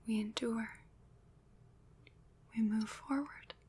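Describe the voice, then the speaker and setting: A woman playing a gentle, consoling witch in an ASMR roleplay, whispering breathily in a slow, soothing, deliberate way. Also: a little high, steady tone, husky, heavily acted. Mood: tender reassurance.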